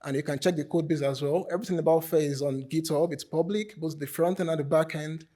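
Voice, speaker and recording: A man giving an informal lightning talk at a conference as a software developer, sometimes repeating his words. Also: fairly high pitch, fairly even intonation, quick pace. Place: conference stage.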